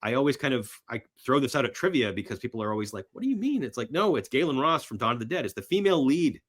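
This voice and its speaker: A man as a podcast guest chatting casually and enthusiastically about films, telling stories in an animated, emphatic way. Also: medium pitch, quick pace. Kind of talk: enthusiastic casual film chat.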